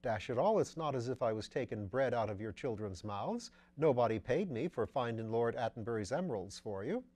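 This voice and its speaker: A man voicing a whimsical upper-class English gentleman detective in an audiobook, airy, flippant and teasing, full of plummy slang. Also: mid-range voice, animated, a little fast, lightly acted. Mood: airy protest. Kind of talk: audiobook character dialogue.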